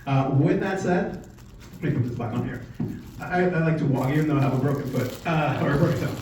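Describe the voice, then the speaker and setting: A man in his forties from Puerto Rico gives an informal presentation, telling a personal story in a conversational, halting way. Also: a little high, far from the mic. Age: 40s. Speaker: speaker at a company sales meeting. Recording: echoey room from afar, muffled, faint noise.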